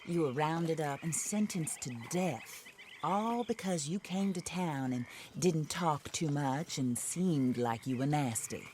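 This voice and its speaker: A cold, vengeful widow. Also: female voice, low-pitched, a little mellow, a little hiss or hum. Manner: measured, formal and icy.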